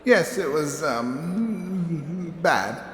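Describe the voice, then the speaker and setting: Bumbling, modest scientist: higher-pitched, slow, stammering delivery in a reverberant hall. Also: male voice, lively intonation, a bit bright, exaggerated voice. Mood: flustered, halting. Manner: hesitant, stammering, halting. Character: awkward, modest male scientist accepting an award. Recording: echoey hall with crowd and noise.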